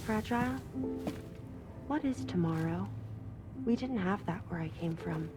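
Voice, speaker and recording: A woman's voice: on the low side, lively intonation, measured pace. A woman playing a naive, earnest young newcomer from another world in a cinematic video game, tentative and questioning. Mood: puzzled, searching. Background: music.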